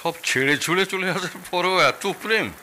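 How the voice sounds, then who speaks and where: A man's voice: high-pitched, normal speed, sharp tone, slight background noise. A man playing a teasing in-law guest, speaking conversationally in an audio drama. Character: teasing, appreciative male in-law guest at tea. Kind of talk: Bengali audio drama acting.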